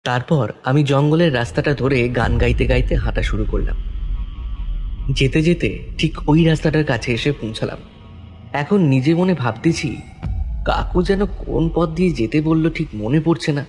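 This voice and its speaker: A man's voice: a little high, normal speed, a little mellow, faint music behind. A man narrating a scripted horror story in the first person.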